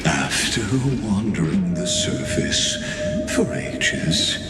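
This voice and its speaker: A man playing a grave, ominous narrator of a dark myth in a game, theatrical and portentous. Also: sits low, huge pitch swings, slow, exaggerated voice. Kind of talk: dramatic scripted game narration. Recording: echoing voice under loud music.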